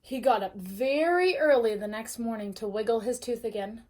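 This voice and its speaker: A woman teacher reading a storybook aloud expressively and pausing to put questions to her young listeners. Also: fairly high pitch, darkish tone. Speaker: teacher reading a bedtime story to first graders.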